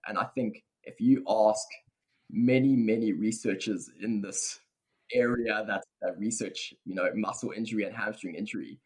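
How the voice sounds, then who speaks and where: South African male researcher, young, husky low voice, measured interview answers. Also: darkish tone, poor audio. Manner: thoughtful, hedging with many fillers. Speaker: guest researcher. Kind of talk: podcast interview.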